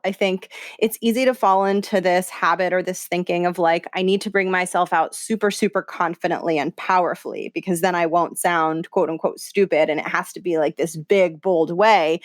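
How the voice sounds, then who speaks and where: A woman's voice: on the low side, dry recording, studio quality. A woman hosting a career podcast, speaking in long, encouraging sentences. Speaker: podcast host. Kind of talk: conversational interview.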